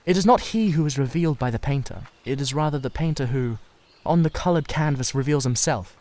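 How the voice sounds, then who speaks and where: A man voicing a sensitive, secretive young painter in a dramatised audiobook, earnest and halting as he confides. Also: medium pitch, darkish tone, voice pushed up, natural voice. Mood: earnest, uneasy.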